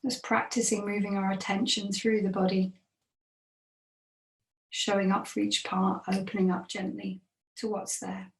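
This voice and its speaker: A woman meditation teacher leading a guided body scan in a gentle, unhurried manner with long pauses. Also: medium pitch, flat delivery, creaky. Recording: roomy, echoing sound.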